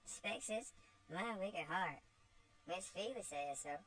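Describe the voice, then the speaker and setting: A woman's voice: fairly low pitch, expressive, slow pace, a bit bright, voice pushed up. A woman doing a blunt, mischievous young girl with broad dialect. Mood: blunt, defiant.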